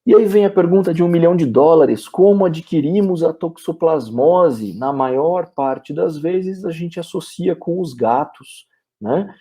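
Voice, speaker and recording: A man's voice: fairly high pitch, expressive, unhurried, mellow, slightly rough. A man with a Brazilian Portuguese accent giving a veterinary webinar lecture, explaining step by step, with "é" fillers and frequent "ok?" checks.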